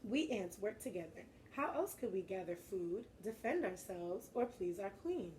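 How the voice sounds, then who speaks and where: A woman's voice: medium pitch, lively intonation, moderate pace, a little mellow, a little hiss or hum, close to an everyday voice, rough recording. A woman reading the part of a regal ant queen in a children's storybook, commanding and gracious.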